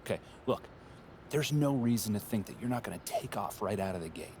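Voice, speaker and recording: A polished marketing executive who lives by data and speaks in tidy corporate phrasing. His voice sits on the lower side. He is a figure from a game cutscene. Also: animated, brisk, a little mellow, a little hiss or hum. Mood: businesslike. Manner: smooth, data-driven corporate talk. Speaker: head of brand and marketing. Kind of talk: video game cutscene dialogue.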